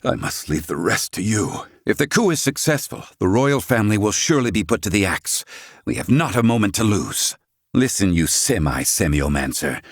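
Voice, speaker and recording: A man's voice: lowish voice, no echo, clean studio sound. An actor playing a proud, sardonic old male scholar and healer in a video game, speaking in formal, archaic phrasing. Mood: brisk, commanding. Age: older. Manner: haughty, formal archaic diction.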